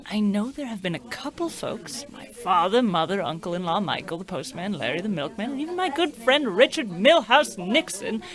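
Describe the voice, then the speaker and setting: A woman playing a blunt, unimpressed, pill-dulled wife in a comic radio play, deadpan and belittling. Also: medium pitch. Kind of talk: comic radio play acting in old radio show vein.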